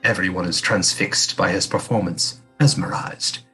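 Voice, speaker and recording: Male narrator, slightly low voice, measured scripted drama narration, faint music behind.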